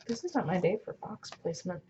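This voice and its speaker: A woman with a slightly hoarse, raspy voice chats casually, thinking aloud as she works through a craft project. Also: lowish voice, big pitch swings, a little fast. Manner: thinking aloud while working. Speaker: planner vlogger. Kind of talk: casual chatty craft narration. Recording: muffled sound with clear background noise.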